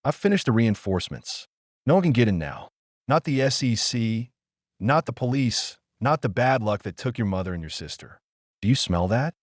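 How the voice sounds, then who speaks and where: A man's voice: medium pitch, animated, moderate pace, dry recording, clean studio sound, natural voice. Man acting out a deranged, pious father, voice swooping. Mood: fervent, reassuring. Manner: intense, sanctimonious, self-justifying monologue with short emphatic phrases. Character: deranged, pious, controlling middle-aged father, coldly self-justifying fanatic. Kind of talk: scripted audio drama monologue.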